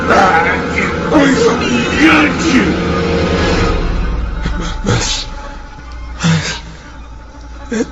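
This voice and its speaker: A man's voice: extremely high pitch, full character voice. A Brazilian actor playing the desperate, mangled spirit of a male suicide in a radio drama, wailing and pleading melodramatically. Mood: anguished horror. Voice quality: wailing, breaking into shouts and sobs. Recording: dull lo-fi audio under loud music.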